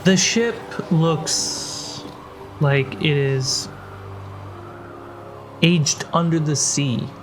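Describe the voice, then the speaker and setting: A man narrating a tabletop role-playing game as game master, describing scenes in short phrases with pauses. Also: fairly high pitch, noisy background. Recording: prominent music bed behind voice. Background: background music score.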